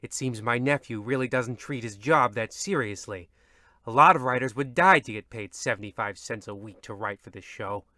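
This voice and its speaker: A man's voice: mid-range voice, moderate pace, pushed and bright, dry recording, clean studio sound, close to an everyday voice. A man playing a weary, theatrical narrator in the style of an old-radio horror host. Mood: exasperated. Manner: ominous, theatrical, grumbling. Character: weary, disappointed horror-show narrator and uncle.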